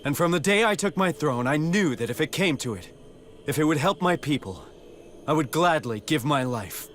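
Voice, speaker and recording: A man's voice: fairly high pitch, expressive, moderate pace, a bit bright, a little hiss or hum. An actor playing a noble, resolute young king in a video game, speaking with earnest heroic conviction. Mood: solemn resolve. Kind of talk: dramatic video game voice acting.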